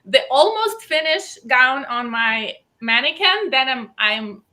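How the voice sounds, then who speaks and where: A woman's voice: high-pitched, sing-song, slow, pushed and bright. A woman from Belarus with a Belarusian accent, a gown designer talking eagerly and emphatically as an interview guest. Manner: enthusiastic, emphatic repetitions. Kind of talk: casual interview talk.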